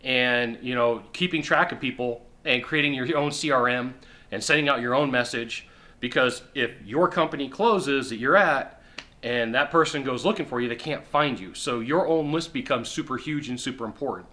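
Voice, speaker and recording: A middle-aged man giving sales advice straight to the camera from a sofa indoors, emphatic and repetitive, with quick acted-out asides. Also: medium pitch, sharp tone. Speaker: sales coach. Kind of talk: direct-to-camera advice talk. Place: indoor living room on a sofa.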